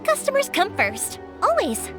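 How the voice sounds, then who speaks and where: A woman's voice: very high voice, huge pitch swings, unhurried, full character voice. A woman playing a cheerful, kindhearted young fireworks maker in an anime-style game, upbeat and breezy. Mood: reassuring. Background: music.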